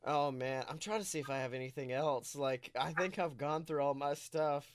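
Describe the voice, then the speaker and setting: A man co-hosting a podcast in casual, comedic chat, telling stories in an animated way and swearing freely. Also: on the high side, normal speed, noisy background. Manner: animated storytelling, acts out quotes, swears freely. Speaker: podcast co-host.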